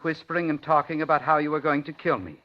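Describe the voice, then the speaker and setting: A man's voice: on the high side, steady tone, fast, close to an everyday voice. An actor playing a paranoid, coldly polite madman who taunts and menaces his captive, in 1950s radio thriller style. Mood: bitter, accusing. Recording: muffled, noisy 1950s radio recording.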